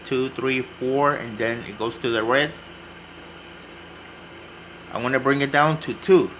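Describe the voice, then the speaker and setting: A man's voice: mid-range voice, pushed and bright, a little hiss or hum, poor audio. A man giving a casual, unscripted hands-on tutorial, explaining step by step and repeating himself as he goes. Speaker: hobbyist demonstrating CB radio repair.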